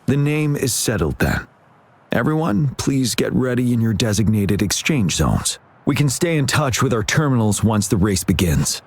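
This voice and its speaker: A man playing a calm, courteous military general in a video game, formal and reassuring. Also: medium pitch, normal speed. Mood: calm, kindly.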